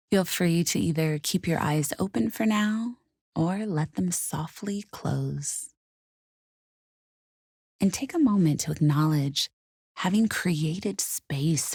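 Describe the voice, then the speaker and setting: A woman guiding a meditation in a soothing, unhurried, softly spoken way with gentle pauses. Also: low-pitched, vocal fry, slightly rough, dry recording, clean studio sound. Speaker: meditation guide. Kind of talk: guided meditation narration.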